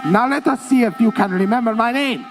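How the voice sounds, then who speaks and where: A woman's voice: a little high, brisk, loud music behind the voice, close to an everyday voice. A young actress playing a pompous, vain male Latin lover in a stage musical, singing and boasting theatrically. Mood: boastful. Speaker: child actor in a musical.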